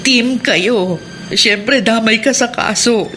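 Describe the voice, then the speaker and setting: A woman's voice: fairly high pitch, slow, sharp tone. A woman playing a policeman's worried, ailing wife in a radio drama, speaking in a distressed, emphatic way. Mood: resigned. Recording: noisy radio drama with ambience.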